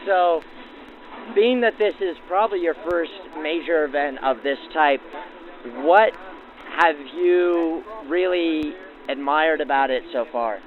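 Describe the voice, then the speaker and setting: A man's voice: on the high side, takes it slowly. A man hosting and interviewing in a formal, descriptive old-time radio manner, with his voice filtered like a 1940s broadcast. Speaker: host and interviewer of a train excursion show. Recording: narrow phone-like vintage radio sound, music behind.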